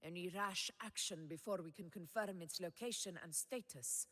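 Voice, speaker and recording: A woman's voice: fairly low pitch, moderate pace, a bit bright. A woman playing a noble, resolute warrior commander in a video game, speaking formally and with command. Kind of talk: dramatic game voice acting. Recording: long hall reverb.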